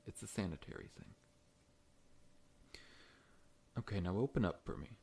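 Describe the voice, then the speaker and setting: Man voicing a very deep, gentle monster dentist. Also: lively intonation, fast talker, vocal fry, slightly rough, exaggerated voice. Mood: friendly, reassuring. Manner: gentle, reassuring, professional bedside talk. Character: large, friendly, scorpion-like monster dentist with many legs. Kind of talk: ASMR roleplay.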